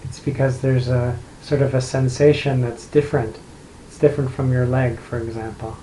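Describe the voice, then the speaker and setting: Mellow, unhurried man guiding meditation, roomy echo. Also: medium pitch, flat delivery. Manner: unhurried, gentle instructing with long pauses. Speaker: meditation guide. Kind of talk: guided meditation, unscripted. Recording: roomy echo, light background noise.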